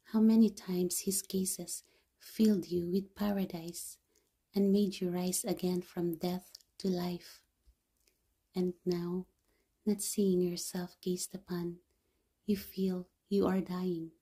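Hushed, slow woman reading devotions. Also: on the low side, level tone, husky. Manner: soft, hushed, half-whispered delivery. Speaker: devotional reader.

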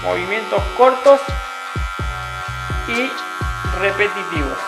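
A young man, a barber calmly explaining a haircut step by step in a small barbershop. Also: high voice, takes it slowly, vocal fry. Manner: calm, instructive, repeats steps patiently. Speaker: barber instructor. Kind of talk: step-by-step tutorial narration. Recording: roomy sound with music behind. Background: background music and clipper buzz.

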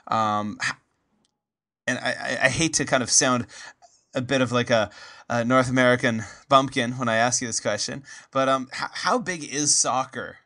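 Man, Canadian accent, podcast host, bright tone, rambling, lots of fillers and restarts. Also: mid-range voice, normal speed. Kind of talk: casual interview.

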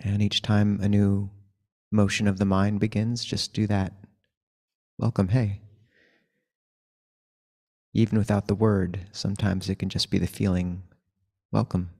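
A man leading a guided meditation as a teacher, giving gentle, unhurried instructions with long pauses. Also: on the low side, flat delivery, creaky.

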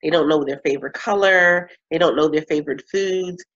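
Animated woman in her thirties, video call. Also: deep voice. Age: 30s. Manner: animated, laughs often, drawn-out emphasis. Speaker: coach and speaker. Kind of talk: casual interview chat. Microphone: webcam on video call. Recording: slightly muffled video-call audio.

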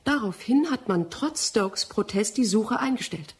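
An actress in a German radio drama playing a determined, accusing young scientist of the nineteenth century, earnest and precise. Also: female voice, on the high side, expressive, measured pace, slightly rough. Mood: reproachful, firm.

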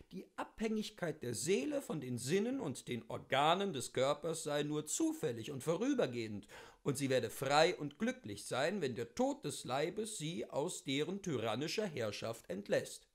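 A man reading as a witty, worldly philosopher of seventy-two, telling his memoirs in a theatrical, rhetorical and deliberate literary style. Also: fairly high pitch, exaggerated voice.

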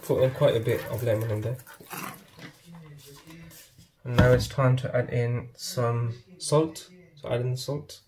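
A man hosting a home cooking channel, talking viewers through a recipe step by step in a steady, instructive way from his kitchen. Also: medium pitch, measured pace, pauses often. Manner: steady, instructive, often addresses viewers as guys.

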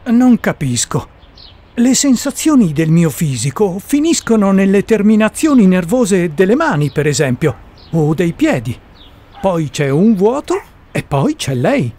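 A man's voice: high-pitched, big pitch swings, a little slow, warm tone, heavily acted. An actor in a radio drama playing a persistent, puzzled man who questions formally and methodically in search of sense. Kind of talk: Italian radio drama acting.

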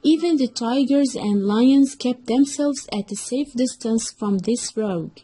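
High-pitched husky woman storyteller. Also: a little slow, dark tone, soft background music. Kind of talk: scripted children's story narration.

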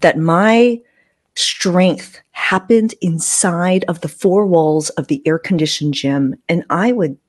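A woman tells personal stories in a casual podcast style. Her voice sits a little low. The sound is dry and close, recorded on a podcast microphone indoors. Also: takes it slowly, no echo. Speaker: podcast host. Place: small room indoors, video call. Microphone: close podcast microphone with fluffy windscreen.

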